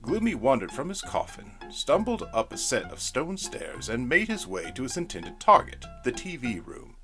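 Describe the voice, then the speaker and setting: A man reading a spooky storybook narration in a playful, expressive way. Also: mid-range voice, normal speed, faint music behind.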